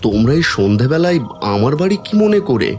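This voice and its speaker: A man's voice: medium pitch, sing-song, fairly quick, a little mellow, soft background music, close to an everyday voice. A man voicing an honest, beleaguered poor farmer in a cartoon, resigned in tone. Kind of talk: Bengali cartoon voice acting.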